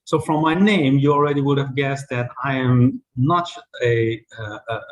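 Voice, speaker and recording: A man's voice: medium pitch, moderate pace, muffled recording. A man, giving a webinar talk about his career in a conversational way, with frequent fillers and restarts. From Hong Kong. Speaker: invited speaker. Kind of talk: webinar presentation.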